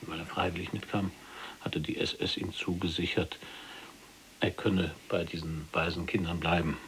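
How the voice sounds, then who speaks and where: An actor playing an earnest resistance fighter in prison in an audio drama, speaking firmly and articulately with grave, accusing factuality. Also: male voice, deep voice, level tone, normal speed, a bit bright, slight background noise. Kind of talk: audio drama, testimony-like narration.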